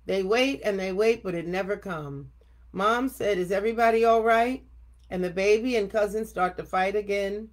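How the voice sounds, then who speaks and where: An older woman reading a children's picture book aloud expressively, with deliberate, emphatic phrasing. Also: medium pitch. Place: home office room indoors. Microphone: webcam at desk distance.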